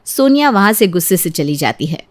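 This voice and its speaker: A woman's voice: lowish voice, very animated, fairly quick. A woman reading a scripted family story as its narrator.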